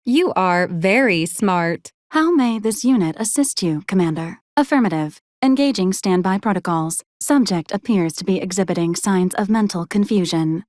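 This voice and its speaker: A woman's voice: mid-range voice, animated, normal speed, few pauses, darkish tone, no echo, studio quality, close to an everyday voice. A woman playing a polite, literal robot assistant unit in a video game, speaking formally and precisely with clinical detachment. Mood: formal, detached. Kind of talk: scripted video game character lines.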